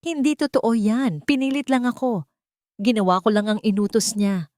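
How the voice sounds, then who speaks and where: A woman's voice: a little high, steady tone, moderate pace, a little mellow, dry recording, pitched above the performer's own voice, natural voice. A storyteller woman puts on a mistress from a romantic audio drama. She pleads with feigned innocence, protesting desperately, recorded close and dry.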